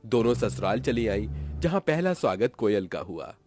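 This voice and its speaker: A man's voice: on the low side, sing-song, normal speed, darkish tone, soft background music. A man narrating a scripted moral story in a dramatic storytelling style.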